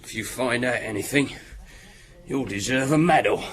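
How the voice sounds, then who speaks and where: An actor playing a sardonic, hard-drinking village butcher in a radio drama, with a mocking manner. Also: male voice, mid-range voice, normal speed, close to an everyday voice. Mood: sardonic, weary.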